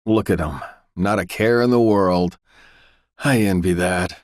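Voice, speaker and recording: A man's voice: sits low, unhurried, dry recording, clean studio sound. A man voicing a jaded, melancholy former miner in a video game. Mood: melancholy, resigned. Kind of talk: video game NPC voice acting.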